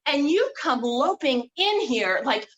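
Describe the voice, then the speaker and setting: Woman acting scornful scheming female, high voice with big swoops, deliberate pace, staged comedy. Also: sing-song, a bit bright, heavily acted. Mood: exasperated, scornful. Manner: condescending, emphatic. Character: exasperated, scornful, scheming woman berating her dim partner.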